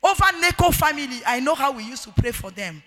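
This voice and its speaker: A middle-aged woman with a Nigerian accent and a loud, forceful voice, preaching a marriage sermon emphatically and repeating key phrases. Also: sits high, lively intonation, quick pace. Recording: echoey hall with long reverb.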